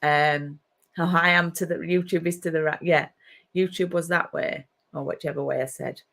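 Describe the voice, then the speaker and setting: A woman's voice: sits low, steady tone, normal speed, gravelly, a bit bright. A middle-aged woman with a Yorkshire accent, chatting casually on a craft live stream from her home craft room and greeting viewers by name. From Yorkshire, England.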